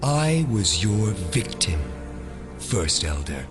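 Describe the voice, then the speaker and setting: Slow, menacing male villain. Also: fairly low pitch, sing-song, music in the background. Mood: cold contempt. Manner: theatrical, deliberate, menacing oratory. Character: vengeful resurrected former victim, cold menacing male mastermind. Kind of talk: audio drama acting.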